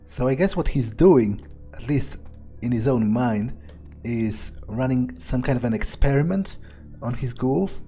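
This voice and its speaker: A man's voice: medium pitch, lively intonation, unhurried, mellow. A man giving in-character video game commentary, thinking aloud in a hesitant, musing way with dry understatement. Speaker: video game player role-playing his character. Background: faint music.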